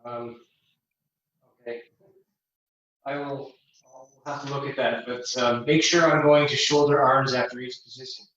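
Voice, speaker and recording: A man's voice: medium pitch, flat delivery, unhurried, pauses often, fairly bright tone. Man in a very echoey room, hesitant. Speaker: drill demonstrator. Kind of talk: casual unscripted instruction. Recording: very echoey, muffled room sound.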